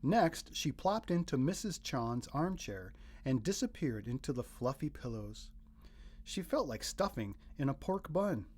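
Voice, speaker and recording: Man narrating with very lively pitch. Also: unhurried, breathy edge. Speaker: storybook narrator. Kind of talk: expressive scripted narration of a children's picture book.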